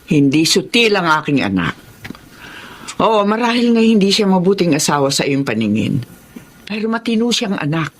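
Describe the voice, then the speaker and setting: Earnest, protective mother, animated pitch. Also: moderate pace. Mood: pleading, defensive. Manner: earnest, admonishing. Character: protective, pleading mother of a grown married son. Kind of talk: Tagalog radio drama acting.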